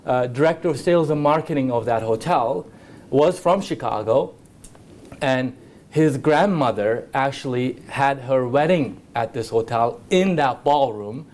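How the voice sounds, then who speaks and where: A man's voice: mid-range voice, darkish tone. Stammering man on a hotel panel. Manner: stammering restarts. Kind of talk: conference panel discussion.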